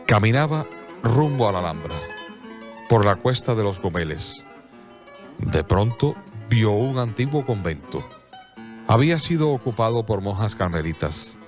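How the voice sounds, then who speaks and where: A man giving solemn, scripted radio drama narration with measured, dramatic pauses. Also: fairly low pitch, big pitch swings, faint music behind.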